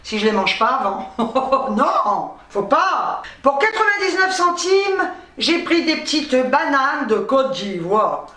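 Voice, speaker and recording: A woman's voice: sits high, very animated, slow pace, sharp tone. A middle-aged woman from North Africa chatting casually as a vlogger, rambling playfully with some salty language. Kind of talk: casual chatty grocery haul. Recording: roomy, distant microphone.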